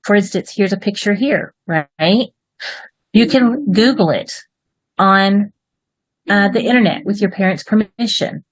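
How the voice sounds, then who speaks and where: A woman's voice: medium pitch, a little slow, dry recording, rough recording. A woman with a Texas accent teaching an online writing class to children. She is upbeat and encouraging and ends with folksy rhyming goodbyes. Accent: Texan. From Texas, United States. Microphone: video call.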